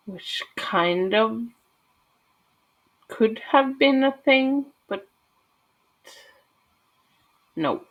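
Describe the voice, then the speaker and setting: Slow, gravelly Norwegian woman. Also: mid-range voice, poor audio. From Norway. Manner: hesitant, many fillers and restarts. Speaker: gaming channel host. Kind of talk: casual build commentary.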